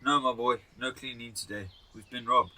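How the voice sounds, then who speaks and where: A man's voice: medium pitch, fairly even intonation, normal speed, pushed and bright. A man acting a grumpy, blunt elderly husband in an amateur radio drama. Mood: irritable.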